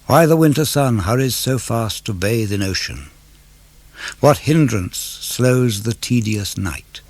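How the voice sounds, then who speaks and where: Male verse reader, gravelly and closely dry, measured declamatory delivery for a radio poetry programme. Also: mid-range voice, animated, a little mellow, no echo. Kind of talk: dramatic verse reading of classical poetry for radio.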